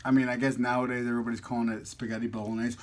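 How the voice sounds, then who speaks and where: A man's voice: mid-range voice, little pitch movement, rapid-fire. A man vlogging casually over a meal, talking in an informal, slangy, teasing way. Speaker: mukbang vlogger. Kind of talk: casual chat while eating.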